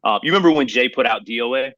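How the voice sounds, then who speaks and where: A man's voice: medium pitch, lively intonation, very fast, bright, forceful tone, no echo, dull audio. A man hosting a casual hip-hop podcast debate, speaking in an emphatic, slangy way and repeating himself for emphasis. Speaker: podcast host.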